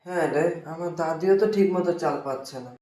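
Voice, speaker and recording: A man's voice: on the high side, quick pace, mellow, roomy sound, lightly acted. A man voicing an eager, loyal village boy in a cartoon. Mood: worried. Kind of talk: cartoon voice acting.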